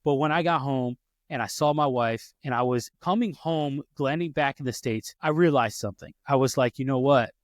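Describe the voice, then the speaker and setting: A man's voice: mid-range voice, normal speed, dry recording. A man in his thirties hosting a podcast, telling personal stories in casual talk, often restarting and correcting himself. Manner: storytelling, frequent restarts and self-corrections. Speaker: podcast host.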